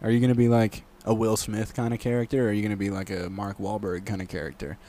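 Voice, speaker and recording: A man's voice: lowish voice, steady tone, fast talker, warm tone. A young man co-hosting a podcast, riffing along with jokes and laughing easily. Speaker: podcast co-host. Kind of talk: casual comedic chat.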